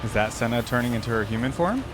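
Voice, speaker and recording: A man giving casual, musing commentary as a reaction video host, often trailing off quietly. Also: medium pitch, lively intonation, quick pace, background noise. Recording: voice over loud game cinematic music.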